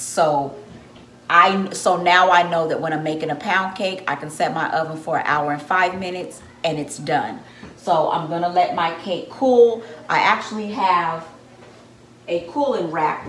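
A woman in her thirties talks through a recipe in a relaxed, chatty way, her voice a little low for a woman. Her home kitchen echoes around her and the camera sits back on the counter. A faint room hum runs under her voice. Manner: chatty, explains steps to viewers. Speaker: home baker, channel host. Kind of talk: casual cooking demonstration. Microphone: camera on the counter, at arm's length.

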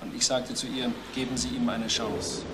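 An actor playing an earnest, reasonable adviser who explains things deliberately in a crime radio play. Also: male voice, mid-range voice, flat delivery, natural voice. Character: earnest, measured male adviser giving testimony. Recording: noisy old radio play recording.

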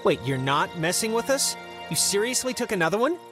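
A man's voice: high-pitched, big pitch swings, a little fast. Skeptical teenage boy, American, sarcastic, blunt, very varied intonation, game dialogue with music underneath. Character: sardonic, skeptical, short-tempered teenage boy. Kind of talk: naturalistic video game dialogue. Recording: music behind the voice.

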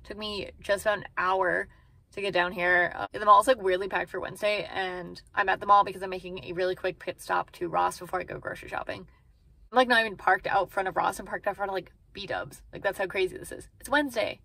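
A woman's voice: mid-range voice, steady tone, brisk, creaky, bright, forceful tone, dry recording. A woman in her thirties chatting casually to a phone camera from her parked car, rambling with lots of fillers. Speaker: vlogger. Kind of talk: casual vlog chat. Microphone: phone camera at arm's length.

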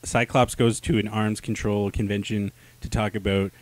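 A man's voice: on the low side, level tone, normal speed, a little mellow, no echo. A young man co-hosting a casual comic book review, speaking in an informal, slangy way into a handheld microphone. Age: young adult. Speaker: podcast host. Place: small indoor room.

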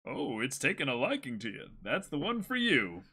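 A man's voice: mid-range voice, wildly swooping pitch, moderate pace, bright, forceful tone, slightly rough, pitched below the performer's own voice, exaggerated voice. A man voicing a helpful, matter-of-fact shopkeeper from a comic, explaining things plainly to customers.

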